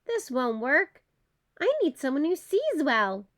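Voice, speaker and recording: Woman as storybook groundhog, male, ailing, frustrated, high-pitched, animated, exclaiming instructions. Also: slow pace, exaggerated voice. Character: sick, frustrated male groundhog seeking a stand-in.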